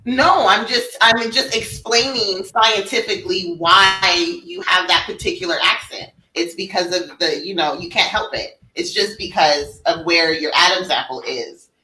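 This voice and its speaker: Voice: medium pitch, measured pace, hardly any pauses, bright, forceful tone, slight background noise. A person chatting casually in a rambling, teasing way. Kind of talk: casual chat. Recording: roomy, echoing sound.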